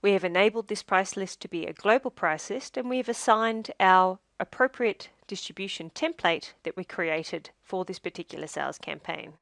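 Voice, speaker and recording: A woman narrating a scripted software tutorial as a demonstrator, in a measured, step-by-step instructional way. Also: medium pitch, vocal fry, dry recording, clean studio sound.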